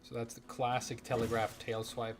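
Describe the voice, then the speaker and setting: A man's voice: mid-range voice, monotone, normal speed, music in the background. A man streaming a video game, chatting casually, reading out viewer questions and swearing now and then as he plays. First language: Japanese. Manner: rambling, swears casually, reads chat questions aloud. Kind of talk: casual live-stream chat while playing.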